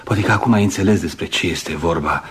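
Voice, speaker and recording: An actor in a radio play voicing a brisk, bossy friend, chatty, ironic and insistent, on a noisy old recording. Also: male voice, deep voice, slightly rough. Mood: eager, persuasive. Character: brisk, teasing, bossy male friend of the doctor. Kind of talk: Romanian radio theatre acting.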